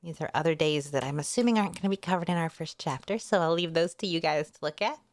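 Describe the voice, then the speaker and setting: Lower-voiced woman reading aloud, dry close sound. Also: fast talker, dry recording. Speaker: VTuber host reading a light novel aloud. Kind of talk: livestream readalong, casual asides and storybook narration.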